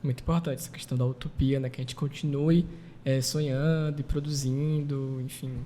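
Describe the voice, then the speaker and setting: A man co-hosting a panel podcast, responding to guests in a halting, appreciative way. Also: medium pitch, little pitch movement, mellow. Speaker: podcast co-host. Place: makeshift studio at a university campus event. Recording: long reverb, faint ambient noise.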